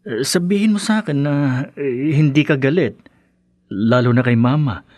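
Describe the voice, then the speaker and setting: A man playing an anxious, placating husband who speaks haltingly in a radio drama. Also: mid-range voice, darkish tone, no room sound, lightly acted. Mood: tender, coaxing. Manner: hesitant, halting. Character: anxious, placating husband torn between wife and mother. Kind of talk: Tagalog radio drama acting.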